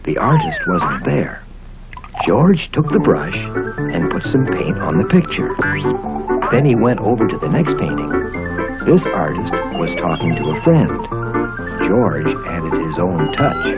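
Low-voiced man narrating with big melodic swings. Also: sing-song, a little slow. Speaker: storybook narrator. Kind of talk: expressive children's story narration. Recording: muffled vintage cartoon soundtrack with music.

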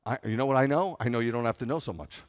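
A man's voice: mid-range voice, very fast. A middle-aged American man preaching as a rabbi, conversational and wry, leaning on repetition and rhetorical questions for emphasis. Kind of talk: sermon. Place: large sanctuary, at a pulpit before seated listeners. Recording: reverberant hall sound.

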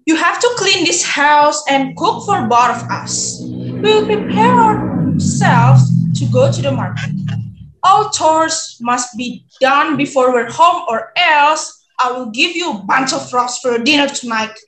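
A woman's voice: high-pitched, expressive, takes it slowly, background noise. A woman playing a cruel, bossy stepmother who dotes on her daughter, in a readers theater. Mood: scornful, bossy. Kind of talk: readers theater acting on video call. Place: indoors on a video call. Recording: roomy echo, background music.

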